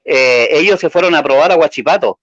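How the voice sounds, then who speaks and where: A man's voice: on the high side, fairly quick, a bit bright, dry recording. A man from Chile with a Chilean accent, a guest in a casual interview, hesitant and full of fillers. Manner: hesitant, many fillers, slightly nervous. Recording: dry lo-fi sound.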